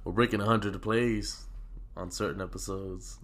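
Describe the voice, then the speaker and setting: A man's voice: on the low side, fairly even intonation, normal speed, a bit bright. A young man co-hosting a podcast in casual chat, sick and coughing, talking in a loose, profane, rambling way. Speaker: podcast co-host.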